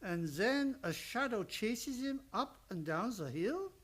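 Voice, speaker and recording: A woman playing a wise, deliberate dream-reading mentor in old time radio drama. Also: a little high, expressive, slightly rough, full character voice. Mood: knowing, measured.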